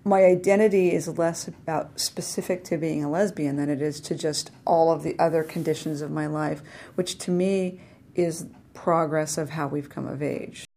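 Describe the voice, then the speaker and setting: A woman speaks thoughtfully and articulately about her life and work. Her voice is very low and mellow. Faint ambience sits underneath. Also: fairly even intonation, normal speed, slight background noise. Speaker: interviewee.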